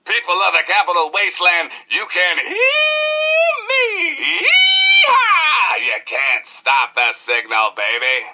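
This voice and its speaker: An actor playing a hip, irreverent post-apocalyptic radio DJ with flamboyant, slangy, playful patter. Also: male voice, sits high, huge pitch swings, slow pace, few pauses, pushed and bright, muffled recording, full character voice. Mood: exuberant. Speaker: radio disc jockey.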